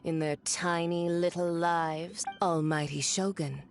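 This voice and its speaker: A woman's voice: on the low side, fairly even intonation, takes it slowly. A woman playing a cruel, elegant villainess in a video game, speaking with condescending, mocking theatricality. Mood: disdainful. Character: haughty, cruel, elegant villainess, a powerful diplomat.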